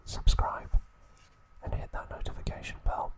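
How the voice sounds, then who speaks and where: A man hosting ASMR, narrating in a soft, breathy whisper with a gentle, soothing manner and short, separated phrases. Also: normal speed. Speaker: ASMR channel host.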